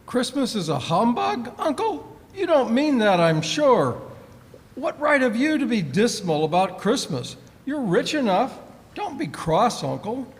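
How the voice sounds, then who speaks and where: Ringing through a large hall, a man acts a good-natured young nephew in a vintage radio drama. The voice is high for a man and swings widely, earnest and buoyant. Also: big pitch swings, measured pace, exaggerated voice. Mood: amused, coaxing. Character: cheerful, kind-hearted younger nephew of a miser. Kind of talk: 1940s radio play acting. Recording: live performance in a reverberant hall.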